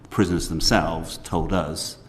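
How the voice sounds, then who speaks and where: Deep-voiced man questioning in a hall. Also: fairly even intonation. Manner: measured, polite, hedging questions. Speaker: committee member questioning witnesses. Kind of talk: formal committee questioning. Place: parliamentary committee room. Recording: reverberant hall with faint noise.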